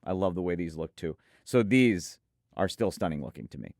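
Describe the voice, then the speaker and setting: A man's voice: deep voice, animated, normal speed, a little mellow, no echo, studio quality. A man co-hosting a product preview, speaking emphatically and effusively and repeating points for emphasis. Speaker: audio gear reviewer and co-host. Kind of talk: enthusiastic product preview talk.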